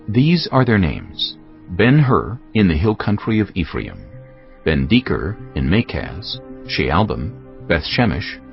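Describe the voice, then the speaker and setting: A man's voice: deep voice, vocal fry. A man narrating a dramatised Bible in a formal storytelling style. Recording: slightly dull, music underneath.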